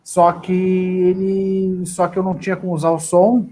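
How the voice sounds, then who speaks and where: A man's voice: high-pitched, flat delivery, moderate pace, warm tone, a little hiss or hum. A man, an actor, chatting casually in a live interview about his career, often restarting his sentences. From southern Brazil. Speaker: actor guest.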